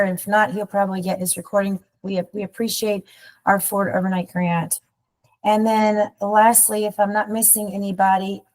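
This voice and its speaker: A woman runs an online community meeting over a video call. She introduces guests in a loose, chatty way, often restarting her sentences, at a middling pitch. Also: low-quality recording. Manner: warm, informal, rambling with restarts. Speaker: nonprofit staff host of a community webinar.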